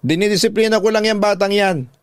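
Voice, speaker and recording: A man's voice: sits high, fast talker, clear, resonant tone, no room sound, clean studio sound, voice pushed up, lightly acted. A man voicing a brazen, spiteful woman, a mistress in a radio drama, snappy, defiant and insolent. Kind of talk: Tagalog radio drama acting.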